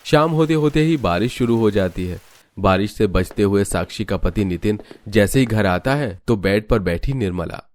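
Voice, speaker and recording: A man's voice: fairly low pitch, expressive, moderate pace, darkish tone. A man narrating a scripted cartoon story.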